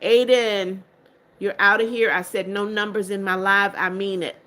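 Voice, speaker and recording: An older woman hosting a live stream, greeting viewers one by one as she reads their comments. Her voice is bright and clear, and she turns firm and strict when warning people who spam her chat. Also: mid-range voice, steady tone, normal speed. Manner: strict, firm about blocking spammers. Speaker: livestream host.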